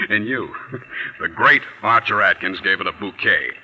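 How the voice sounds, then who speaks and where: A man's voice: on the low side, bright, forceful tone, full character voice, low-quality recording. An actor playing a smug, needling newspaper editor who gloats over his rival in a 1940s radio drama. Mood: mocking. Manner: needling, mock-soothing. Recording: old 1940s radio broadcast recording.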